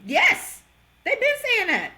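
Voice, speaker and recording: A young woman from Georgia co-hosting a podcast, chatting casually in an animated, slangy way and laughing often. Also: very high voice, slow pace. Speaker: podcast co-host. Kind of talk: casual chat.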